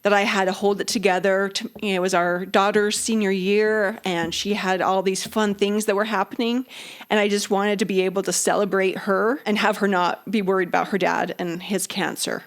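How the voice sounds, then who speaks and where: A woman's voice: medium pitch, steady tone, moderate pace. A woman in her late forties, a guest speaking candidly and reflectively about caregiving in plain, easy words. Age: 40s. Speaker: guest, spouse and caretaker. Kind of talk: candid conversation.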